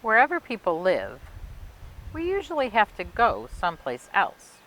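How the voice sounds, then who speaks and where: A woman reading a children's picture book aloud for a library storytime, expressively and phrase by phrase with emphatic pauses. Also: medium pitch, wildly swooping pitch, fairly bright tone, low-quality recording. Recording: clear background noise and hiss.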